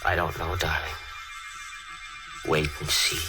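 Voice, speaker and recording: Low-voiced scheming murderer confessing. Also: male voice, flat delivery. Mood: soothing, sly. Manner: brooding, dramatic, deliberate. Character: obsessive scheming murderer narrating his own crime. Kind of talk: 1940s radio thriller acting, confessional narration. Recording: old radio recording with loud music.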